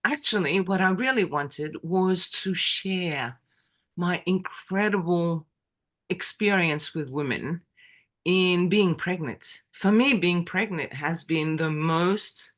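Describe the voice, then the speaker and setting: French woman in her middle years, slow easy storytelling, lots of ums and giggles, bit low pitched. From France. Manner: chatty, many fillers and laughs. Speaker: interview guest. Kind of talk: casual storytelling.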